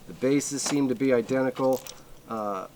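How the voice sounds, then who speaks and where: A man's voice: mid-range voice, normal speed, a little mellow. A man giving a casual, off-the-cuff product review, rambling a little and repeating himself for emphasis. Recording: clearly noisy recording with clicks.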